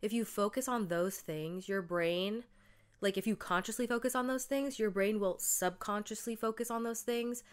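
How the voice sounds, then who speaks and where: A woman's voice: medium pitch, normal speed, clean tone. A young woman hosting her own podcast, talking casually and chattily with lots of fillers, her voice still stuffed up from a cold. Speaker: podcast host. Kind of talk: casual solo podcast monologue.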